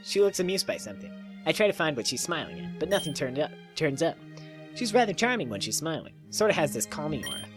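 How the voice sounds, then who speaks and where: A man's voice: a little high, sing-song, fast, music in the background. A man hosting a let's play, reading a visual novel aloud with jokey, irreverent asides. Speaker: let's play host and reader.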